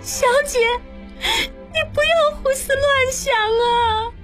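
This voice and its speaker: Period audiobook maidservant: young, anxious, earnest, extremely high voice over light music. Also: normal speed. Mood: tearful. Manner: fretful, scolding, plaintive.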